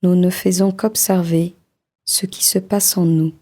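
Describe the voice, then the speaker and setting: A woman leading a guided body-scan meditation in a slow, soft, soothing voice with long pauses. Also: on the low side, flat delivery.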